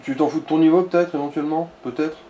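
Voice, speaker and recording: A man's voice: a little high, fairly even intonation, fast talker, warm tone, faint music behind, low-quality recording. Casual male gamer with crude slang. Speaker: co-player giving directions and advice. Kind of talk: casual gaming chat, commentary.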